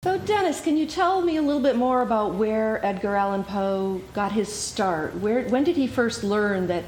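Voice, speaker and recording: A woman's voice: on the high side, animated, normal speed, darkish tone, slight background noise, poor audio. A woman hosting a community library program, speaking in a friendly presenting and interviewing style. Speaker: host, library program coordinator.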